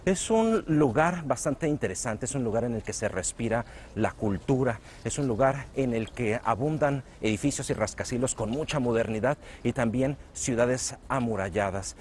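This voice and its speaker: A middle-aged man with a Mexican accent, a television news presenter hosting and narrating a travel documentary in a measured, polished broadcast manner. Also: mid-range voice, expressive, darkish tone, a little hiss or hum. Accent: Mexican Spanish. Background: music.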